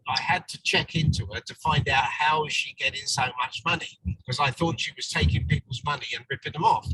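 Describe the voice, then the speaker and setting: A man speaking bluntly and plainly over a video call while driving his car. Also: a little high. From England. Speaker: guest's husband. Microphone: phone on a video call. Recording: distant, noisy car call.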